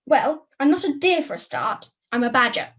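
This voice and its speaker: Young woman as high-voiced fussy badger puppet. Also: sing-song, moderate pace, a bit bright. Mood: prim, irritated. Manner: precise, prim. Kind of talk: amateur puppet play dialogue. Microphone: video call. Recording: muffled video call audio.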